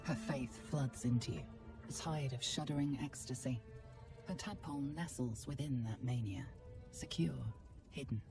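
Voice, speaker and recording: The solemn narrator of a fantasy video game. Her voice is extremely low, measured and dramatic, with an ominous air. Music plays behind her. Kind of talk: scripted second-person game narration.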